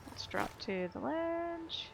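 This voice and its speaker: A woman's voice: very high-pitched, lively intonation, slow, vocal fry, noisy background. A woman giving casual, offhand commentary on her own video game playthrough, swearing freely.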